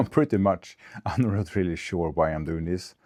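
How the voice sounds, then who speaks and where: Deep-voiced Swedish man thinking aloud. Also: lively intonation, darkish tone, no room sound, studio quality. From Sweden. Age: 40s. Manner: thinking aloud, halting with fillers and restarts. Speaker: vlogger. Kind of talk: unscripted casual vlog. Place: recorded on a phone camera. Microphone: small clip-on wireless mic.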